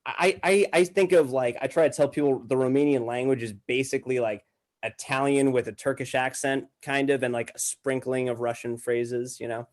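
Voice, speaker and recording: Casual male podcast guest, twenties, American accent, mid-pitched voice, loose and slangy conversational storytelling. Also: steady tone, moderate pace, a little mellow. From Massachusetts, United States. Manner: informal, slangy.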